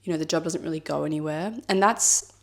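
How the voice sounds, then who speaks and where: A woman in her thirties speaks in a fairly low voice, a touch husky from a cold. She muses out loud to camera in a personal vlog, thoughtful and rambling, leaning on fillers like "like" and "I guess.". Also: quick pace. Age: 30s. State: has a cold, slightly husky. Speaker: vlogger. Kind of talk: reflective personal vlog talk.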